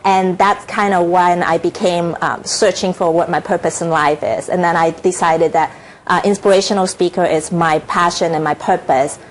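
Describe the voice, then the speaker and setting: A woman's voice: lowish voice, steady tone, a little hiss or hum. A middle-aged woman from Hong Kong, with a Hong Kong accent, talking earnestly as a TV interview guest with many fillers and restarts. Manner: earnest, halting, frequent fillers. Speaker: author and inspirational speaker.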